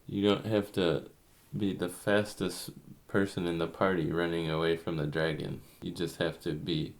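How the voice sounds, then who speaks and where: A man's voice: deep voice, level tone, normal speed. A man giving his opinion as a podcast panelist in casual conversation. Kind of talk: casual chat.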